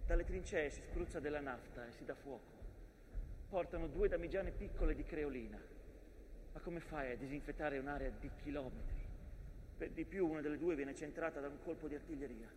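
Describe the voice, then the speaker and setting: An actor playing the war-scarred land itself as a weary narrator in a theatrical monologue. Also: male voice, fairly high pitch, a little fast, a little mellow, slight background noise, lightly acted. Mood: grim. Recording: long reverberant theatre hall.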